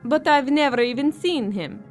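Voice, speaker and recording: A woman's voice: high-pitched, expressive, normal speed, faint music behind. A young woman acting a blunt, resentful au pair in an audio horror drama. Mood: probing, coaxing. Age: young adult. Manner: blunt, complaining.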